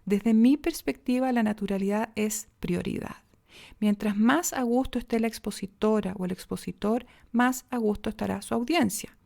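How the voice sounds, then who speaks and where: A woman with a Chilean accent teaching speech and diction on a podcast, acting out pauses, pitch and pace changes as examples. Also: gravelly, darkish tone. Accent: Chilean Spanish. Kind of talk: podcast voice lesson.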